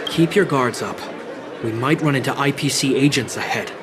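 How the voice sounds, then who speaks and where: Actor as a dutiful captain amid noisy bustle. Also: male voice, mid-range voice, normal speed, a crowd in the background, close to an everyday voice. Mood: alert, businesslike. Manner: formal, commanding. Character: dutiful, disciplined military captain man. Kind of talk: video game dialogue acting. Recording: busy outdoor noise and voices behind.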